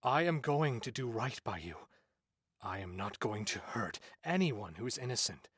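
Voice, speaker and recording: A man voicing a tormented, lovesick cursed man in a fantasy visual novel, brooding and theatrical, with halting intensity and a breathy, husky voice. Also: medium pitch, big pitch swings, fairly bright tone, heavily acted. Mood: solemn, resolute. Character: tormented cursed man, lovesick, fearing his own bloodlust. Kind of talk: dramatic visual novel monologue.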